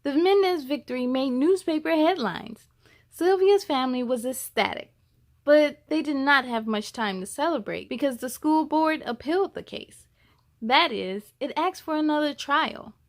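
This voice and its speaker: A woman reading a children's picture book aloud as a story-time host, with a deliberate, expressive storytelling delivery. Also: high voice.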